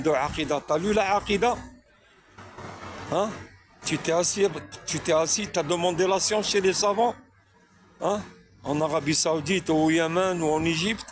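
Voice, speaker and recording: Preachy man firing rhetorical questions. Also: high voice. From France. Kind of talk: spontaneous religious monologue.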